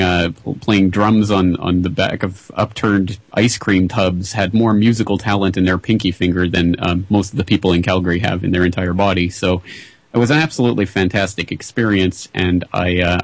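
Dry-voiced radio host. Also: male voice, sits low, steady tone, no room sound, rough recording. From Canada. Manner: measured, wry, with frequent "uh" restarts. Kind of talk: radio interview.

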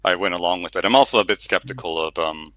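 A man's voice: low-pitched, fast talker, pushed and bright, no echo, clean studio sound. A middle-aged man, a novelist, talking casually about his book in an interview with many fillers and restarts, then reading a passage aloud. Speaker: novelist guest.

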